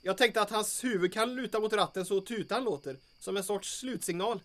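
Very high, fretful male sidekick played by a man. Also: fairly bright tone. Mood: grumbling, morbidly chatty. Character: hapless, fretful, chatty male sidekick on night raid. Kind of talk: audio drama acting. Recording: noisy vehicle ambience behind voice.

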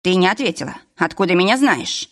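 A woman's voice: lowish voice, expressive, fairly quick, lightly acted. Woman acting paranoid, threatening young man. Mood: suspicious. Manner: demanding, hostile, curt.

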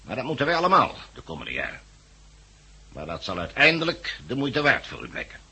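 A man's voice: mid-range voice, huge pitch swings, brisk, bright, forceful tone, husky, heavily acted. A man acting in a 1960s Dutch radio drama as a cold, officious committee envoy, formal and pompous, with a Netherlands accent. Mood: coolly insistent. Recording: muffled-edged vintage 1969 radio recording.

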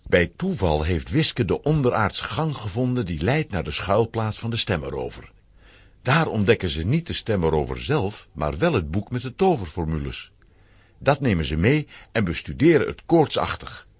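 A man giving a dramatic, expressive scripted narration for a children's audio drama. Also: deep voice, normal speed, slightly rough, no room sound.

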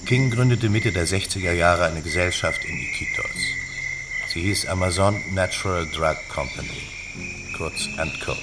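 Grave, low-voiced padre. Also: male voice. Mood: grave, knowing. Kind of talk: radio drama acting, measured storytelling. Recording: clear insect-filled ambient noise behind voice.

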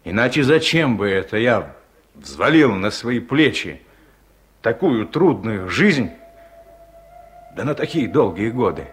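A low-voiced older man delivers a radio play monologue as an honest, weary farm chairman. He speaks very slowly and pensively, with a husky tone and halting, solemn phrasing. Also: very animated, soft background music, exaggerated voice. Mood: searching, weary.

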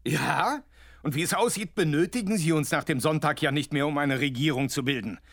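An actor playing a coalition politician who speaks to the chancellor in a sarcastic, cutting way. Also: male voice, on the high side, fairly quick, gravelly, fairly bright tone, no echo. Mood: scornful.

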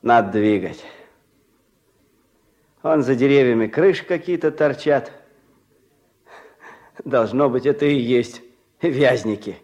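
A man's voice: medium pitch, takes it slowly, pauses often, darkish tone, close to an everyday voice. An actor playing an easygoing, slightly bemused wandering workman in a radio drama, speaking colloquially and musingly. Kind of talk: Soviet radio drama acting.

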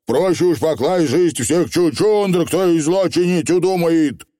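A man's voice: a little high, measured pace, warm tone, pitched above the performer's own voice, close to an everyday voice. A man voicing a boastful, cheeky little fairy clansman for a storybook, with folksy garbled dialect and an eager, wheedling manner. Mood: earnest.

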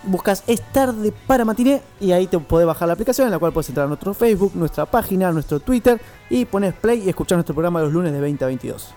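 A woman's voice: lowish voice, lively intonation, fast, dark tone, soft background music. A woman in her twenties with an Argentine accent, co-hosting a radio show in casual chat. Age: 20s.

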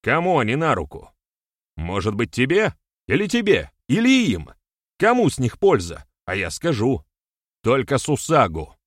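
A man's voice: medium pitch, huge pitch swings, moderate pace, no room sound, clean studio sound, voice pushed up. A man voicing a hot-tempered, defiant warrior-sorcerer in an audiobook, declamatory and sarcastic. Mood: furious, accusing.

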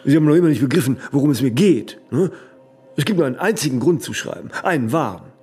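An actor playing a stubborn, impatient ageing artist in a radio play, husky and creaky, insistent, often ending on small tag questions. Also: male voice, medium pitch, lively intonation, fast talker, darkish tone, faint music behind.

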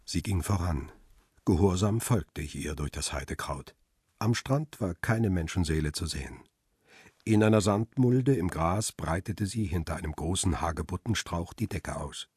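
An actor playing a middle-aged, world-weary male photographer who narrates a radio crime drama in a measured, reflective way. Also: deep voice, darkish tone, husky, no echo, studio quality, exaggerated voice. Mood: observant, calm. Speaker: first-person narrator and lead character.

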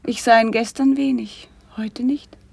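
An actress playing a gentle, refined princess and patient sister in a classic German radio play, speaking measured lyrical verse in a soft, breathy voice. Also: female voice, a little high, fairly even intonation, dry recording, full character voice. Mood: earnest, defending.

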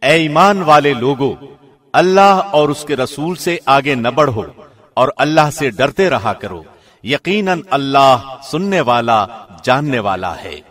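A man's voice: mid-range voice, lively intonation, fairly bright tone. A man reading a scripture translation from a script, in the solemn style of a radio presenter.

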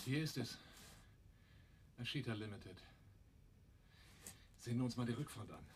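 An actor playing an American investigator who narrates and leads in a low-key, matter-of-fact way in a German radio drama. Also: male voice, animated, unhurried, close to an everyday voice. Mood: hushed, focused. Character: American man narrating, leading a small band of investigators. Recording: noisy 1980s radio drama recording.

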